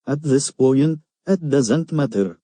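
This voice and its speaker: A man's voice: mid-range voice, expressive, slow, mellow, no echo. A man playing a despairing, war-weary national leader in an amateur audio drama, somber and defeated.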